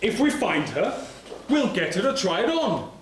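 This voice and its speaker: A man's voice: high-pitched, sing-song, unhurried. A man playing a romantic, earnest young prince in an amateur stage pantomime, with declamatory delivery. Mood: hopeful. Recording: echoey hall, faint noise.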